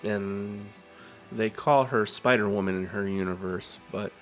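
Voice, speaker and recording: A man with a lowish voice talks over his drawing, nasal and stuffed up from a cold. He rambles in a relaxed way through comic book lore, full of "uh"s and asides. Soft music plays faintly under his voice-over. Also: a little slow. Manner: rambling, easygoing fan storytelling with fillers. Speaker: drawing channel host. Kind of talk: casual talk over a drawing video.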